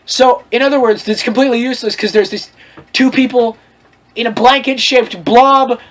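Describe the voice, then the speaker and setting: A host reading fan fiction aloud for comedy, in an animated, mock-lecturing way with frequent asides. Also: medium pitch, normal speed. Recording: clear background noise with clicks.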